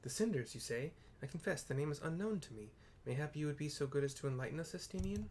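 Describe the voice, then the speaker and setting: A man's voice: on the high side, lively intonation, quick pace, a little mellow, slight background noise, pitched above the performer's own voice, lightly acted. A man voicing a courteous, earnest young nobleman in a fantasy game, with formal, archaic phrasing. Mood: inquiring.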